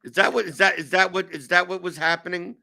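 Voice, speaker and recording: 40s guy from new york hosting a chat show, rambly, keeps restarting, really bright tense voice. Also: sits high, steady tone, fast, creaky. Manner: rambling, self-mocking, lots of fillers and restarts. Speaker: show host. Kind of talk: casual chat.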